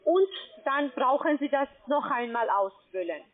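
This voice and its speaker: Lady phone agent, broken grammar, high voice, phone-line sound. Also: lively intonation, measured pace. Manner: instructing step by step, broken grammar. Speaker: scam call center agent. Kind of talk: phone call.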